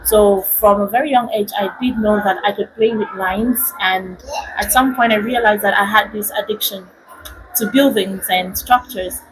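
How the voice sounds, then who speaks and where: A woman's voice: mid-range voice, steady tone, moderate pace. Young woman with a British accent. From Nigeria. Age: young adult. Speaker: interviewee. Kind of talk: casual interview. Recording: noisy background.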